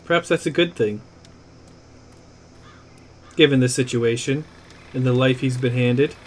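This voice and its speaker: A man's voice: mid-range voice, unhurried, a little hiss or hum. A man commentating his video game playthrough in a playful, joking way, humming along and teasing the characters. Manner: playful, hums and jokes at the screen. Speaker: let's play gamer. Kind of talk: let's play commentary.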